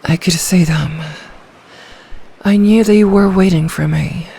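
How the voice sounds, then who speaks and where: A woman's voice: on the low side, mellow, full character voice. A woman voicing an ancient, lonely, possessive sea dragon in human form, in a brooding, formal ASMR fantasy roleplay. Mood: wistful. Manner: slow, storybook-formal, brooding, lapses into breathy whispers.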